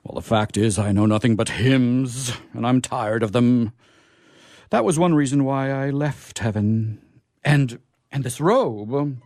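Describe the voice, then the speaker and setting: An actor playing a naive, courtly angel in a comic radio play, with formal, florid, hesitant phrasing. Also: male voice, medium pitch, a little slow, a little mellow, no room sound, clean studio sound, natural voice. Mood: smitten, earnest. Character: naive, courtly, curious male angel discovering earthly pleasures.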